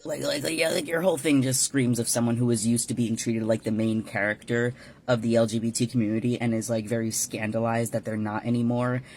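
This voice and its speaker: A man's voice: mid-range voice, level tone, brisk, darkish tone. A man ranting scornfully in a casual social media video, with many fillers.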